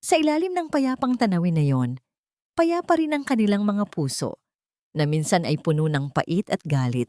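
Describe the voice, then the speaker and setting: A woman narrating a sentimental love story in measured, dramatic short phrases. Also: fairly low pitch, sing-song, mellow, dry recording.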